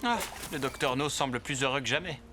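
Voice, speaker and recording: A man's voice: mid-range voice, brisk, loud music behind the voice, close to an everyday voice. An actor playing a kind, bearded male scientist in a French cartoon dub. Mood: wistful.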